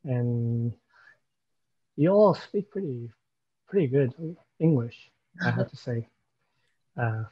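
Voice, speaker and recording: A man's voice: mid-range voice, animated, slow, long pauses, husky, no room sound. A man from Taiwan, guest at an online club meeting, gives friendly feedback with plenty of "you know", over a video call. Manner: warm, encouraging, filler-heavy with you know. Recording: dull dry video call audio.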